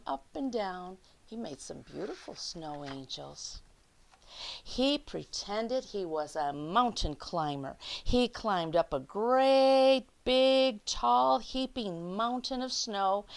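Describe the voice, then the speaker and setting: A woman reads a picture book to children at a slow, unhurried pace in a mid-pitched voice. She is expressive, stretching key words and slipping into hushed asides as she puts questions to her young audience. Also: gravelly. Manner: addresses young listeners with questions. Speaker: children's storyteller.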